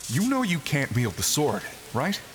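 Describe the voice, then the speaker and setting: A man playing a cocky, flippant adventurer hero in an audio drama, quick with sarcasm. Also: fairly high pitch, wildly swooping pitch, long reverb tail, a little hiss or hum. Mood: wry.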